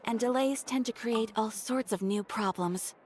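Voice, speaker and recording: An actress playing a courteous, composed guild receptionist in a video game, speaking formally and in measured phrases. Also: female voice, on the high side, a little mellow, a little hiss or hum, lightly acted. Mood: polite, helpful.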